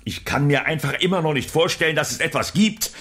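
A man's voice: on the high side, fairly quick, a bit bright, natural voice. A man playing a grave, resolute military general in a children's science-fiction audio drama, speaking with dramatic emphasis. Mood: explaining gravely.

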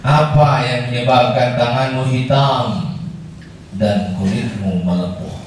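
A middle-aged man with an Indonesian accent giving a formal, measured and emphatic Friday sermon with Arabic recitation. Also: mid-range voice, steady tone. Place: indoor mosque prayer hall. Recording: very echoey hall, distant, faint noise.

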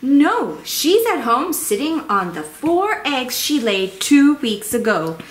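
A woman plays a cheerful male bluebird on a children's puppet show. The voice is high and swoops around as it explains things in a chirpy way, with a roomy echo. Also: very animated, takes it slowly, exaggerated voice. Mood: proudly explaining. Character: cheerful male indigo bunting bird, proud father-to-be.

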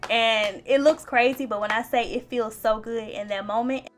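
A chatty, playful young woman with a slightly high voice narrates her own vlog and talks straight to her viewers. Her voice-over sits over faint background music. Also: fairly even intonation, normal speed. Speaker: beauty vlogger.

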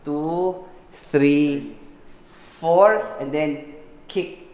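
A man's voice: drawn-out words, a little mellow. A middle-aged man with a Filipino accent, energetically teaching fight choreography, counting moves and making vocal sound effects. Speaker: fight coordinator, coach. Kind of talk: hands-on fight choreography instruction. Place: hard-walled home kitchen, indoors. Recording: echoey room, boomy reverb, slight noise.

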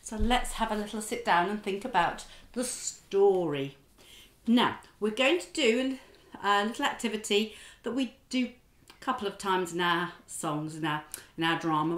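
A middle-aged woman leading a children's drama class and telling a story in an animated, expressive, encouraging way. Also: mid-range voice, roomy sound.

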